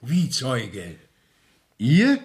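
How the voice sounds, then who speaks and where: Stern senior judicial inspector, radio drama, slow delivery, declamatory, expressive pitch, exacting. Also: male voice, heavily acted. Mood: grave, questioning. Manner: stately, formal, declamatory. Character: stern, formal visiting court official, dignified and exacting. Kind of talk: 1970s radio drama acting.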